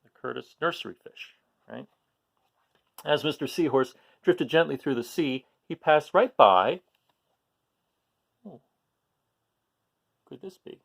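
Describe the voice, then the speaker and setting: Gravelly, animated man reading a picture book. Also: fairly high pitch, slow, pauses often. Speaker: children's book read-aloud host. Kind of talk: expressive storybook reading with casual asides.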